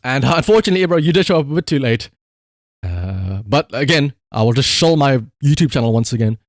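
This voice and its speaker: A man's voice: mid-range voice, lively intonation, a little fast, no echo, clean studio sound. A man livestreamer chatting casually and rambling to his viewers, swearing freely with playful exasperation. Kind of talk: casual chat to stream viewers.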